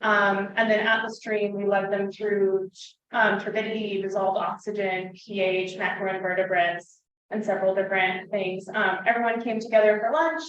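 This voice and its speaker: A woman presenting a school field trip program in a steady way, with frequent fillers. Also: medium pitch, moderate pace. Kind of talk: conference presentation. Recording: distant, muffled, echoey room.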